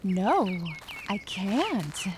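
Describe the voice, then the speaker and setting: Woman acting slow-paced, guarded, blunt young woman. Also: mid-range voice, sing-song, background noise. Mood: blunt, sarcastic. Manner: sarcastic, curt. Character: guarded, blunt young woman, tough but secretly vulnerable. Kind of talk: audio drama acting.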